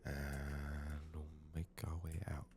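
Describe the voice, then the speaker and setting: A man giving soft-spoken ASMR game commentary, murmuring haltingly and slipping into whispers, his voice strained from being sick. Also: extremely low pitch, completely flat delivery, background noise. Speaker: ASMR gaming video host.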